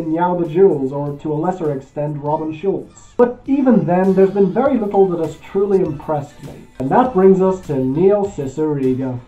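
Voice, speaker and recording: A man from Belgium hosting a music show, giving an opinionated scripted album review with animated emphasis. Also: a little high, poor audio.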